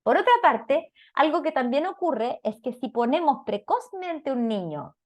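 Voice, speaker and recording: A woman's voice: sits high, very animated, measured pace, darkish tone. A woman with a Chilean Spanish accent giving an explanatory talk as a parenting lecturer, didactic and often checking in with short questions.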